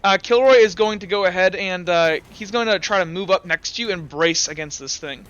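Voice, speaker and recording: Young male game master, crisp and bright, talks in halting bursts with lots of fillers. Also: sits high, highs cut off. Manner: halting, full of fillers and restarts. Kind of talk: narrating and explaining a tabletop game.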